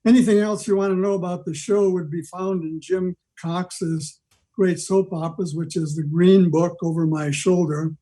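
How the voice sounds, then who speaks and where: An older man giving an informal history talk on a video call, rambling along with halting digressions. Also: high voice, fairly even intonation, dark tone. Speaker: club member giving a presentation. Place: video meeting from home.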